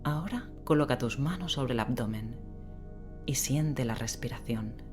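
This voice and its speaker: A woman leading a guided sleep meditation in a gentle, unhurried way with long pauses, giving slow, soothing instructions. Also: a bass voice, lively intonation. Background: relaxing rain sound and soft music.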